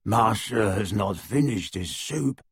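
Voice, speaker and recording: Gravelly, slow old elf servant. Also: male voice, fairly low pitch, animated, dry recording, clean studio sound, heavily acted. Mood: fawning. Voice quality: gravelly, croaking. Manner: slow, obsequious, third-person deference. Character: servile, fawning old male house-elf servant.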